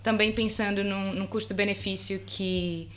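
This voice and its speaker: A woman's voice: medium pitch, normal speed, slight background noise. Chatty Bahian Brazilian woman. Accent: Brazilian Portuguese, Bahia. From Salvador, Bahia, Brazil. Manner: relaxed, chatty, laughs easily, tells anecdotes. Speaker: podcast guest, lawyer. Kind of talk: casual chat.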